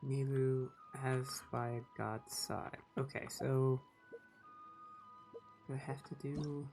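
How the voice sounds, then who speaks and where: A woman's voice: a bass voice, steady tone, slow pace, darkish tone. A woman streamer giving casual gameplay commentary and reading choices aloud. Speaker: game streamer. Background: game music.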